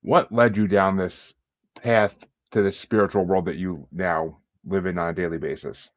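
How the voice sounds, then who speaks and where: A man's voice: low voice, steady tone, moderate pace. A man hosting a podcast with casual, jokey and self-deprecating interview chat. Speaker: podcast host. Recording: dull lo-fi sound, roomy echo.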